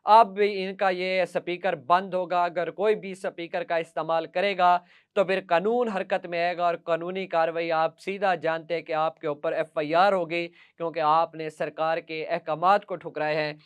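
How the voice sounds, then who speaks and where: A Pakistani-accented man delivers news commentary in a high, clear voice. He addresses viewers directly and leans on emphatic, repeated lists to press each point. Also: flat delivery, moderate pace. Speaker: news presenter.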